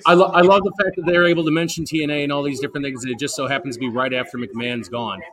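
A man's voice: fairly high pitch, fairly even intonation, rapid-fire, a crowd in the background, rough recording. A man co-hosting a live wrestling podcast, making opinionated, critical remarks in casual chat. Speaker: podcast co-host.

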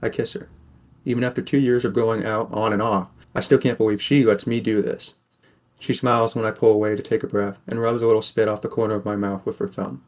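A man's voice: on the low side, flat delivery, brisk, a little mellow, natural voice. A man playing a weary, wry sixteen-year-old boy from a poor mining town, narrating a fan audio drama in the first person.

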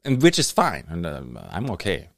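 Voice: low-pitched, huge pitch swings, moderate pace, dry recording, studio quality. A podcast host with a German accent, a native German speaker, chatting casually, laughing often and searching for English words. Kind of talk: casual conversational interview.

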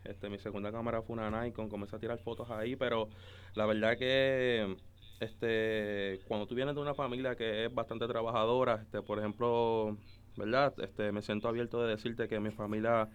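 A man's voice: fairly low pitch, level tone, normal speed, clean tone. A man in his twenties with a Caribbean Spanish accent, telling his story casually as a podcast guest. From Dominican Republic and Puerto Rico. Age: 20s. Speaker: podcast guest, photographer and videographer.

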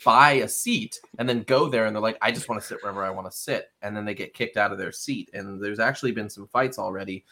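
A man's voice: on the low side, fast, pushed and bright. A man co-hosting a casual podcast, upbeat and jokey, often laughing as he talks. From Rhode Island, USA. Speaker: podcast co-host.

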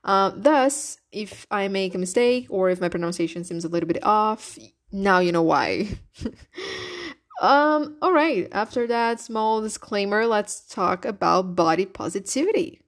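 A young woman from Brazil with a Brazilian Portuguese accent, her first language being Portuguese, hosting a solo podcast in an animated, chatty way with rhetorical questions. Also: mid-range voice, measured pace. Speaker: podcast host.

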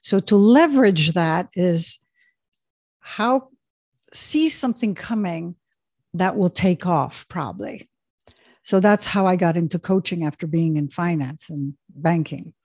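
A woman's voice: fairly low pitch, unhurried, a little mellow, no room sound, studio quality. A middle-aged woman from Switzerland, an executive coach, talking casually as a podcast guest with frequent fillers and restarts. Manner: hesitant, many fillers and restarts. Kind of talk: casual interview talk.

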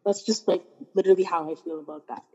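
A woman's voice: lowish voice, steady tone, quick pace, dark tone, husky, in a large hall. A woman from Canada talking in a casual discussion, earnest and thoughtful, with many fillers and restarts. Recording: dull, hollow-sounding recording.

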